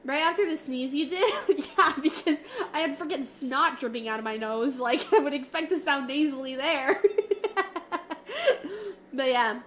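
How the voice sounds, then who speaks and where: A woman's voice: high voice, normal speed, fairly bright tone, slight background noise. A woman streamer with a nasal, stuffy voice from a cold, chatting playfully with her viewers. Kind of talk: casual livestream chat with viewers. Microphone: desk mic, moving closer and farther.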